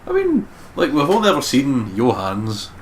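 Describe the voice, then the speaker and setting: A man's voice: medium pitch, wildly swooping pitch, moderate pace. A man giving casual, joking let's play commentary on a game in a home recording. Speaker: let's player. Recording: clearly noisy home recording.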